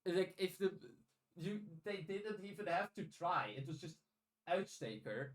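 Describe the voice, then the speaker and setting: Dutch guy chatting on a podcast, bit high voice, rambles and restarts, really echoey and distant. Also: sing-song, unhurried. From Netherlands. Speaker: podcast host. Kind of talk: casual podcast chat. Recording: echoey room, distant from the mic.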